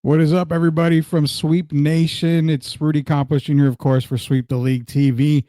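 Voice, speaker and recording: A man's voice: a little high, level tone, a little fast, mellow, no echo, studio quality, soft background music. An American man hosting a podcast, chatting through promotional patter and introducing his guest in an upbeat interview style. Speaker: podcast host.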